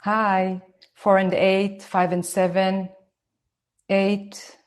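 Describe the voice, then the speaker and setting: Israeli woman in her 40s talking to parents online, slow careful delivery, mid pitch. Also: flat delivery. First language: Hebrew. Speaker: parenting speaker. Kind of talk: live online talk to parents. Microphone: phone.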